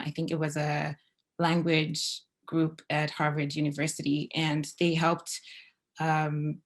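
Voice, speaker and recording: Low, flat-voiced young woman panelist. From Zimbabwe. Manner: steady, explanatory, with frequent um fillers. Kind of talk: panel discussion over video call.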